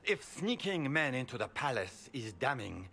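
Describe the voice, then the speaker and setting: An actor playing a proud, defensive grand duke in a video game, formal and indignant. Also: male voice, medium pitch, very animated, moderate pace, creaky, bright, forceful tone, slight background noise, full character voice. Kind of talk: video game cutscene acting.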